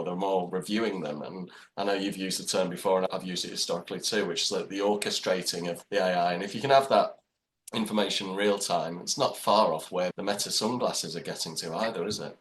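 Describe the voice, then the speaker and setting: A man's voice: low voice, fast talker, a bit bright, a little hiss or hum. A man co-hosting a podcast, talking casually and rambling with the odd laugh. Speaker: podcast co-host. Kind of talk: casual chat.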